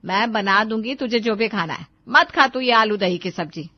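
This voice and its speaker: A woman's voice: medium pitch, normal speed, no room sound, lo-fi sound, natural voice. Woman playing a thrifty, caring mother, dry sound. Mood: indignant. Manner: scolding yet motherly, reasoning. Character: caring, thrifty middle-aged mother, homely and patient. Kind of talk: cartoon moral-story acting.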